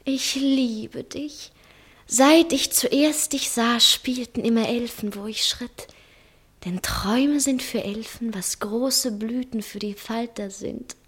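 A woman acting in a 1960s German radio play as a capricious young lover, theatrical and teasing, often drifting into breathy murmurs. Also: on the high side, slow pace. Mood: dreamy, tender. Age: young adult. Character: dreamy, coquettish, capricious young woman in love.

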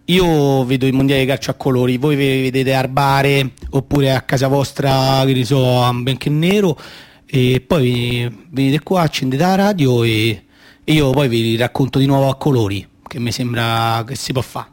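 A man's voice: medium pitch, steady tone, normal speed, natural voice. Man playing a rambling little Roman football-mad boy. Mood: boastful, explaining. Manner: rambling, hesitant, naive, boastful childish explaining. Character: boastful little football-mad boy collecting sticker cards in 1978. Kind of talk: radio theatre monologue in childish colloquial speech.

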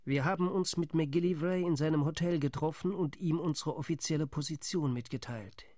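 A wary male delegate in a radio play, doubtful and deliberate, as an actor plays him. His voice sits fairly high for a man, with a grave, reporting tone. The studio sound is close and dry. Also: mellow, dry recording, close to an everyday voice. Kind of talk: radio drama monologue.

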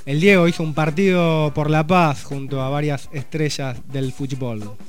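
Male radio host, fairly high voice, easygoing news chat over faint music. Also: normal speed. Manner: relaxed, conversational, reads news items with asides.

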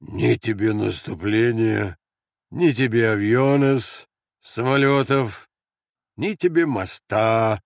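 A man voicing a sullen, sly, drunken guerrilla in an audiobook, talking slowly and weightily. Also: on the low side, gravelly, no room sound, clean studio sound. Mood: weighty, slow. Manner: slow, weighty, didactic.

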